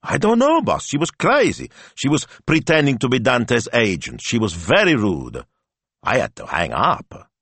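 A man's voice: deep voice, huge pitch swings, measured pace, sharp tone, husky, no room sound, full character voice. A man voicing an eager, naive young male sidekick with an earnest, deferential manner in an audiobook. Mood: defensive.

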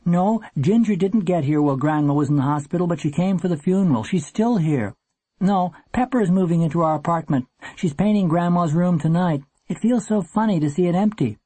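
An intent storybook girl, performed by a man in a raised, soft-edged voice. She rattles on without pause and has an irritable streak. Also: high-pitched, slightly rough, no room sound, voice pushed up. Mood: intent, chatty. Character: intent, talkative girl, irritable with her brother.

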